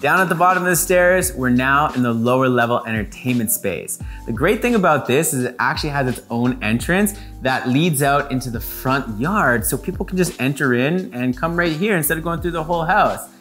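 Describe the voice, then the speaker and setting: A man's voice: medium pitch, lively intonation, fairly quick, few pauses, fairly bright tone, soft background music. A young man giving a casual, enthusiastic guided tour of a house in an informal, playful manner. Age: young adult. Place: indoors, rooms of a large house. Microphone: lapel mic.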